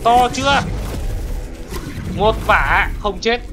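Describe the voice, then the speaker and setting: An excited gaming host commentates on gameplay in an exclamatory way. The voice has a medium pitch and talks to viewers like friends. Music plays clearly behind the commentary. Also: very slow. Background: background music.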